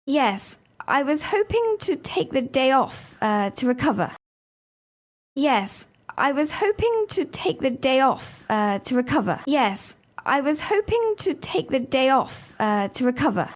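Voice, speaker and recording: A woman reading scripted dialogue parts for an English listening lesson, speaking clearly and carefully. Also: sits high, big pitch swings, a little slow, heavily acted. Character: woman calling in sick, then a polite hotel receptionist. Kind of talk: scripted role-play dialogue.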